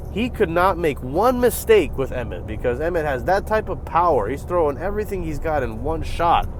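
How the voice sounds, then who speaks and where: A man hosting a reaction video, giving casual, profane commentary on a fight, jumping from loud bursts to low mutters. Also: a little hiss or hum.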